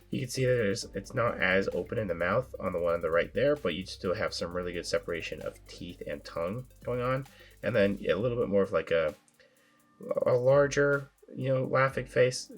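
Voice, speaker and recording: A man hosting a casual toy review, his voice raspy from a sinus infection, talking in a relaxed, rambling way with filler words. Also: lowish voice. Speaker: toy reviewer, channel host.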